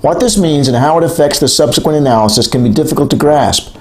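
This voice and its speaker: A man reading a textbook aloud as scripted narration, in a measured, clearly enunciated lecturing way. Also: on the high side. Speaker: author reading an audiobook chapter.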